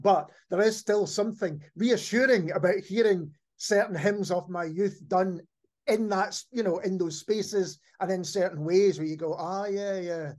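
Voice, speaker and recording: A man's voice: high-pitched, a little mellow. A man hosting a casual podcast interview in a reflective, agreeable way. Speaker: podcast host.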